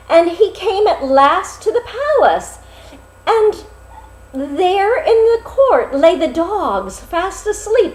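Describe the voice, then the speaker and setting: Lady reading a fairytale out loud, really slow n dramatic, lots of pauses, echoey room. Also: very high-pitched. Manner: dramatic, deliberate phrasing with pauses. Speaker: storyteller reading a fairy tale aloud. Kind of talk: expressive storybook narration.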